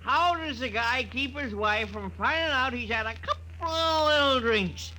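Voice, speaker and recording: A man's voice: very high-pitched, moderate pace. An actor playing a sloppy drunk barfly in a 1950s radio drama, slurring, rambling and pleading as he repeats himself. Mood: tipsy, eager. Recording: noisy old radio broadcast recording.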